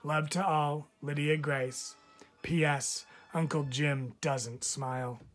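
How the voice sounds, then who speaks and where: A man's voice: a little high, fairly even intonation, slow pace, fairly bright tone, faint music behind. Man reading a storybook aloud. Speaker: teacher reading a picture book aloud. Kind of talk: storybook read-aloud of letters.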